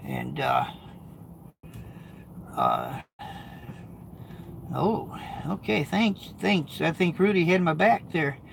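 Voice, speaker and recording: A man's voice: big pitch swings, very slow, long pauses, slight background noise. A middle-aged American man, a truck driver, chatting casually to his viewers from his truck, rambling and restarting sentences as he reads comments. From United States. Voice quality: high-pitched, gravelly. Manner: rambling, repeats words, frequent restarts. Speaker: truck driver and video host.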